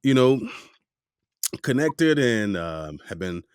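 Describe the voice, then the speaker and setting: A man's voice: lowish voice, slow pace, clean tone, no echo. A man hosts his podcast with a loose, joking manner, his voice swinging widely up and down as he talks. The sound is dry and close, with no room around it. He chats with a guest over a remote video call. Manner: playful, animated, joking asides. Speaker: podcast host. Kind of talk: casual interview chat.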